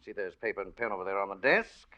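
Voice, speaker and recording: Suave, menacing old radio villain. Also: male voice, fairly low pitch, expressive, bright, forceful tone. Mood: coldly commanding. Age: older. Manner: genteel, mock-polite, unhurried menace. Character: smooth, menacing old male extortionist and killer. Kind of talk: 1940s radio thriller acting. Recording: dull vintage radio broadcast.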